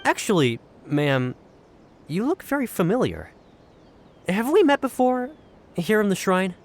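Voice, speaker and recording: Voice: mid-range voice, big pitch swings, unhurried. An actor voicing a gullible, earnest stay-at-home writer in a video game. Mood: curious.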